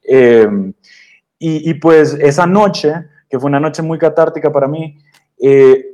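Young adult man from Honduras, DJ guest; mellow, warm tone; easygoing, halting delivery. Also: a little high. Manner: hesitant, many fillers and restarts. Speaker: guest DJ and producer. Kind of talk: relaxed storytelling interview answers. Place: radio studio.

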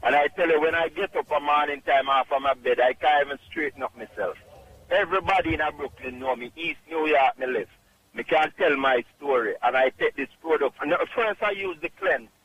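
Middle-aged Jamaican caller, emphatic and halting, slightly high voice, faint line hiss. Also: male voice, a bit bright, dull audio. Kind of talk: radio call-in testimonial.

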